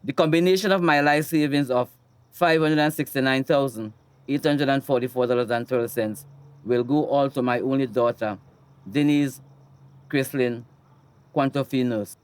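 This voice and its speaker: An actor playing a calm, formal attorney in a radio drama, speaking. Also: male voice, mid-range voice, clear, resonant tone, natural voice. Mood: solemn. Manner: measured, formal. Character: Samson Straker, calm, formal male attorney.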